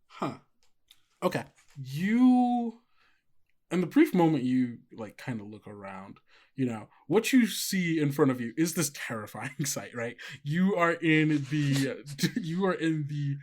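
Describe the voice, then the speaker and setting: A game master improvising horror narration for a tabletop game in a halting, conversational way. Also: medium pitch, very animated, a little mellow. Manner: halting, conversational, frequent 'like' and 'you know'.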